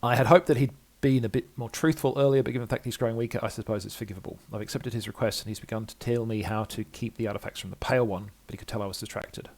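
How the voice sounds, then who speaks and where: Man voicing an anxious, gravelly, muttering scholar. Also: lowish voice, racing, few pauses, a little mellow. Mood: reeling, anxious. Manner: rambling, self-repeating, fretful. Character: anxious, muttering male scholar doubting his own sanity. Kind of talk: dramatic reading of game journal entries.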